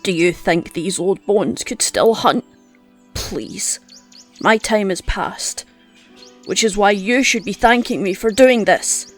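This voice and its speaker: An actress playing a gruff, scolding old woman who teaches hunting with blunt scorn in an audio drama. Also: medium pitch, takes it slowly. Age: older. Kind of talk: audio roleplay drama acting. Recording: music under the voice.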